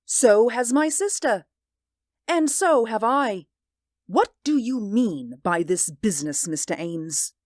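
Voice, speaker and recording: An actress in a 1940s radio drama playing a stern, skeptical former schoolteacher who speaks in a scornful, prim way. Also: female voice, a little high, animated, takes it slowly, a little mellow, no echo, studio quality.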